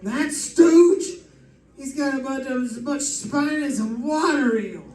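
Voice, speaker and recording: An actor playing a sour, grudging barfly informant in a radio drama, griping in halting slang. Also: male voice, very high-pitched, darkish tone, a little hiss or hum. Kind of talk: old-time radio drama acting. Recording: echoey, distant stage recording.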